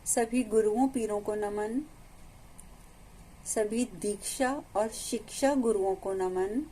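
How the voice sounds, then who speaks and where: A woman with an Indian accent leads a guided meditation as a teacher, giving calm instructions with long pauses between phrases. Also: medium pitch, slight background noise, low-quality recording.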